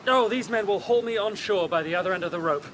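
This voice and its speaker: Man as high-voiced brave gentleman, noisy background. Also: fast talker, natural voice. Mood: urgent, brave. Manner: spirited, gentlemanly. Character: brave, confident young gentleman with seafaring experience. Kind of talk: period audio drama acting.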